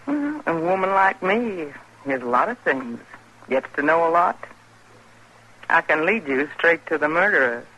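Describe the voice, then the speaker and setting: A woman's voice: deep voice, very animated, measured pace, sharp tone, husky, heavily acted. A woman playing a money-hungry saloon woman of the Old West, sly and bold, in old-time radio western style. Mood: sly, bargaining. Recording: noisy old radio broadcast recording.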